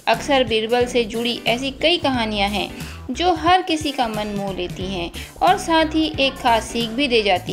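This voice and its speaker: Female narrator for scripted kids' folk tales, voice on the higher side, light music underneath. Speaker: storyteller and channel host. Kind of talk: scripted children's story narration. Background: background music.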